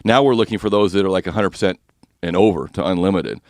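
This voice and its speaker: A man hosting a finance talk radio show, speaking with a slightly rough, husky voice and explaining mortgage rules in a chatty, joking way. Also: low voice, quick pace, dry recording, studio quality.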